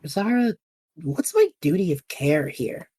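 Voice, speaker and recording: A woman's voice: lowish voice, sing-song, unhurried, mellow, breathy edge, full character voice. A woman playing a wry, reluctant young magic user in a fantasy audio drama, casual and self-deprecating in manner. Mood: candid.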